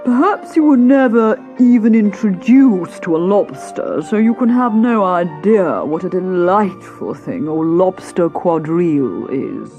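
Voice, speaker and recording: A woman's voice: on the low side, expressive, unhurried, few pauses, mellow, lo-fi sound, faint music behind, pitched above the performer's own voice. A woman voicing a sorrowful old male turtle creature who sighs and weeps in a hollow tone. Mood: mournful. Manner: sighing, tearful, hollow.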